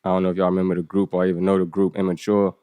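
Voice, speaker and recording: Deep southern american guy in his 30s, low flat voice, easygoing podcast guest talk, dry sound. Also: very fast, smooth, dry recording. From United States. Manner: laid-back, leans on "you know what I'm saying". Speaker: podcast guest, event organizer. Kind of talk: casual interview talk.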